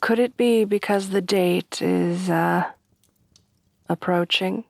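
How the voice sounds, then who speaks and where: A woman voicing a refined, devoted headless horsewoman bride in a soft, breathy, courtly ASMR roleplay, often dropping to whispers. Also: on the low side, fairly even intonation, slow, no room sound, studio quality. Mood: gentle, doting. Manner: refined, formal, courtly, tenderly doting.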